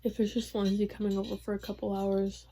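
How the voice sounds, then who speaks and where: A young woman vlogging casually about her reading, rambling with lots of fillers, her voice going hoarse. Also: mid-range voice, level tone, fairly quick. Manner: rambling, filler-heavy, soft-spoken. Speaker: book vlogger. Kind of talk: casual vlog chat.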